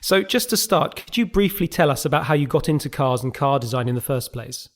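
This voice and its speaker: A man's voice: medium pitch, lively intonation, brisk. A man hosting an interview podcast, giving prepared introductions and questions.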